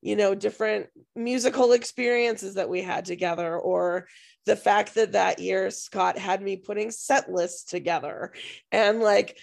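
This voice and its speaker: Hoarse woman with a gravelly voice. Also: medium pitch, steady tone, unhurried, darkish tone. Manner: rambling, self-interrupting storytelling. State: hoarse, losing her voice. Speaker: podcast co-host and music teacher. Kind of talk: casual podcast chat.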